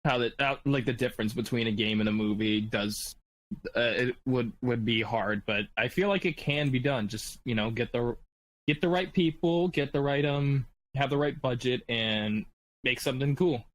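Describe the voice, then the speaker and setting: A man with a medium-pitched voice joins a casual panel discussion. He talks haltingly, repeating words as he works toward his point. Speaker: podcast panelist.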